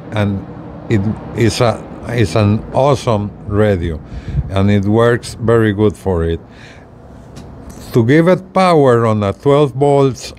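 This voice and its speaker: A man in his sixties giving a casual, plain-spoken, step-by-step product demonstration with halting phrasing. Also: medium pitch, a little mellow. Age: 60s. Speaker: gadget reviewer. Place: outdoors on a residential balcony. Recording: clear outdoor ambient noise.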